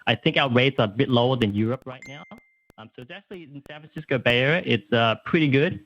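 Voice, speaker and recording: A man's voice: medium pitch, quick pace, a bit bright. A young man calling in to a radio talk show, earnest and talking with many restarts and fillers. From Australia. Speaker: caller. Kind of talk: radio talkback call. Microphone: phone. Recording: muffled phone line.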